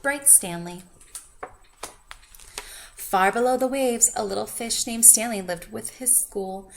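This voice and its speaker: A woman reading a children's picture book aloud expressively, with questioning asides to young listeners and drawn-out emphasis. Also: fairly high pitch, creaky, slight background noise. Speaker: children's story reader.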